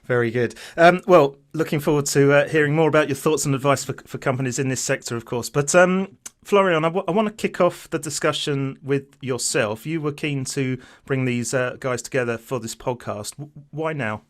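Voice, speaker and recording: A male interview host speaks in a conversational, moderating way at a middle pitch. He frames questions and hands over between guests as a podcast presenter does. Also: normal speed.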